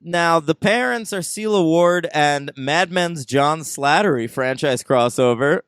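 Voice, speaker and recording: A man co-hosts a casual film podcast, joking and laughing as he runs through the cast. His voice sits a little high and comes across smooth and resonant. The sound is close and dry, with no room around it. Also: measured pace, a bit bright, no room sound. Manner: joking, chatty, laughs often. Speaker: podcast co-host. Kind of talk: casual film review chat.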